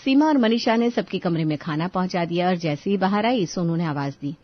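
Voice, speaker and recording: A woman's voice: fairly low pitch, lively intonation, brisk, muffled recording. A woman narrating a scripted moral story as a storyteller.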